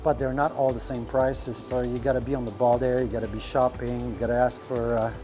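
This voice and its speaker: Man talking shop, halting. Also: mid-range voice, level tone, over music. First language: French. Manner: rambling, many fillers, searches for words. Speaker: restaurant owner. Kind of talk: casual podcast talk. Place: restaurant kitchen.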